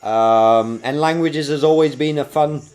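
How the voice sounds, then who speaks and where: A man's voice: a little high, measured pace, a little mellow, soft background music. A man from Belgium with a mixed UK, New Zealand and American accent, hosting a casual livestream and chatting upbeat to viewers while working, tired after a night awake. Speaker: livestream host. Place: home desk setup.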